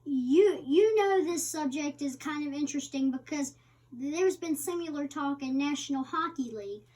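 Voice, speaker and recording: A woman with a high voice reads an interviewer's questions aloud from a magazine. She goes haltingly, stumbling over words, and sounds set back from the microphone. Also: darkish tone. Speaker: co-host reading the interviewer's part. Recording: distant microphone.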